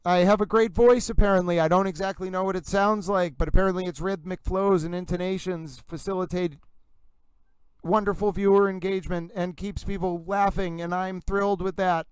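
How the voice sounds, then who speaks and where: High, smooth-voiced theatrical male streamer. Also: steady tone, brisk, dry recording, low-quality recording. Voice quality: slightly breathless. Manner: theatrical, gushing, singsong put-on intonation. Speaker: livestream host. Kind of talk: casual livestream chat with viewers.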